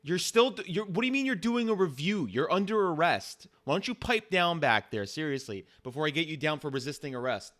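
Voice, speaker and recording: Guy doing a pissed off cop, loud n barking, pitched up, trips over his words, roleplay game. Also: sing-song, fairly quick, few pauses. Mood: irritated, threatening. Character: stern, aggressive, fed-up male police officer.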